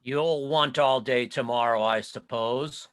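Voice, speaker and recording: A man acts out a bitter old miser in a storybook reading. His voice is bright and hard-edged at an ordinary male pitch. He is curt and scornful, a grumpy man of business. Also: a little slow, natural voice. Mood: grudging, irritated. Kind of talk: dramatic reading of a classic story.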